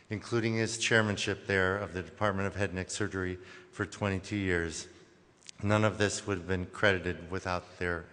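A fairly low-pitched man with a bright, forceful tone gives brief acceptance remarks at a podium microphone. His delivery is plain and lightly humorous. The reverberant hall leaves a long echo behind his words. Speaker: colleague accepting an award on another's behalf. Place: large conference hall. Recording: echoey conference hall.

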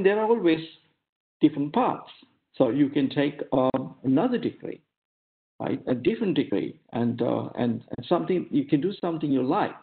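A man with a Sri Lankan accent, a native Sinhala speaker, giving an informal career lecture in a halting way, often checking in with short tag questions. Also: mid-range voice, creaky. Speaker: academic giving a career talk. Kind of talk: informal lecture over slides. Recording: thin, phone-like online audio.

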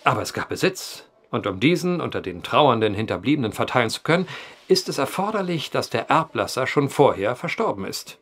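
An actor playing a brooding, eloquent storyteller at a bar in an audio drama, wordy and theatrical. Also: male voice, mid-range voice, very animated, brisk, a bit bright, breathy edge, exaggerated voice. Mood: wry.

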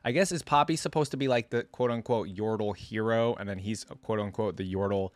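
A man's voice: lowish voice, fairly quick, no echo. A young man giving casual reaction commentary into a close desk microphone. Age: young adult. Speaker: reaction video host. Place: indoor gaming setup.

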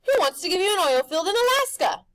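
A woman's voice: sing-song, quick pace, no room sound, studio quality, exaggerated voice. A woman voicing a sly, pushy male trickster who keeps wheedling with offers. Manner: pushy, high-pitched and loud.

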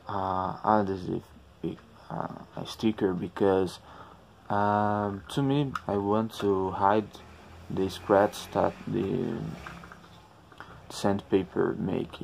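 A man with a low, creaky voice talks through a DIY tutorial in non-native English. He goes extremely slowly, halting as he looks for words. There is faint noise in the recording. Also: a little mellow. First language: not English. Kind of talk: informal how-to narration.

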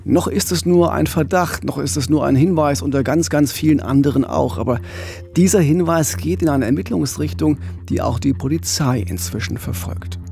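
A man's voice: on the high side, normal speed, creaky, darkish tone, faint music behind. A man in his forties hosting a true-crime podcast, narrating conversationally into a studio microphone. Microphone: studio condenser mic with pop filter.

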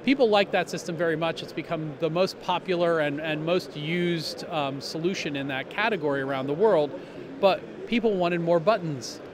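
A man's voice: fairly high pitch, moderate pace. A middle-aged man explaining a product in an upbeat way at a trade show booth, talking into a handheld mic, with lots of fillers and restarts. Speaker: company representative. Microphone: handheld interviewer mic. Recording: noisy trade show hall.

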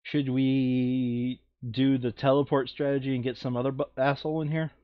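A man's voice: medium pitch, level tone, normal speed, no echo, lo-fi sound. A man chatting casually through a board game, counting moves and reading out rules.

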